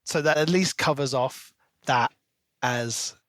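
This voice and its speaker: A man's voice: medium pitch, slow, a bit bright, no echo, clean studio sound. A British man co-hosting a podcast, casually discussing a book in an analytical, questioning way. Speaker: podcast co-host.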